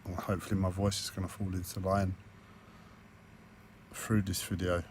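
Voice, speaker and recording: A man with a croaky, hoarse voice, talking hesitantly with many fillers and restarts in a personal video diary recorded on a tablet. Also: low-pitched, completely flat delivery, normal speed, a little hiss or hum. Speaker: vlogger.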